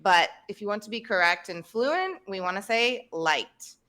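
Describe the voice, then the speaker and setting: A woman, hosting a podcast and explaining English pronunciation clearly over a video call. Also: mid-range voice, big pitch swings, normal speed, bright, forceful tone. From Tennessee, United States. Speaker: podcast host and English teacher. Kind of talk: casual teaching chat. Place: home room, indoors. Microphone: video call, webcam.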